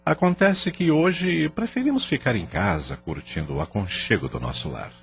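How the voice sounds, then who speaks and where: A man's voice: mid-range voice, animated, moderate pace, creaky, highs cut off, a little hiss or hum. A Brazilian man playing a middle-aged family father in an audio drama. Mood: reassuring. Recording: band-limited recording with music bed.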